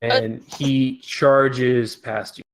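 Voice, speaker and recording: A man narrating as game master of a tabletop game, relaxed and halting, with frequent fillers. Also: mid-range voice, poor audio. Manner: relaxed, hesitant with many fillers. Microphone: headset or desk mic on a voice call.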